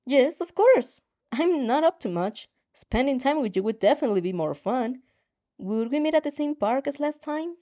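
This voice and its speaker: A woman's voice: high voice, animated, moderate pace, warm tone, muffled recording, pitched above the performer's own voice. A woman voicing a friendly, eager teenage girl in a story, chirpy and casual. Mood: cheerful.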